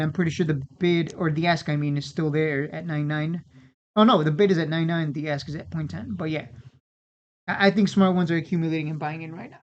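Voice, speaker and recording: Casual trading-channel host, mid-pitched, informal and repetitive, on slightly lo-fi audio. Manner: informal, repeats and restarts phrases. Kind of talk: casual unscripted market commentary.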